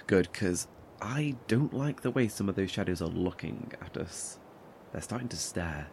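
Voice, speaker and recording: A man acting a weary, haunted male survivor in a horror audio drama. His voice is low and halting, with wry, nervous edges, over a noisy background ambience. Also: animated, a little mellow. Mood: uneasy, wry. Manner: hesitant, halting, with nervous chuckles and sighs.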